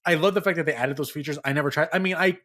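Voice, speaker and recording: A man hosting a casual gaming podcast, steering the talk with questions in an animated way. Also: a little high, rapid-fire. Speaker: podcast host.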